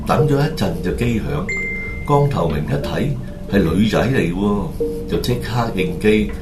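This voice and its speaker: Deep-voiced Hong Kong man narrating, echoey. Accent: Hong Kong Cantonese. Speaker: radio drama narrator. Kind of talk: colloquial scripted storytelling. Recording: roomy echo, loud music behind.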